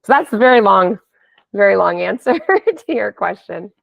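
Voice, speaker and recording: A woman's voice: medium pitch, big pitch swings, normal speed, vocal fry, no room sound. A woman speaking casually and enthusiastically as an interview guest on a podcast. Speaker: podcast guest, wellness app founder. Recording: slightly dull lo-fi audio.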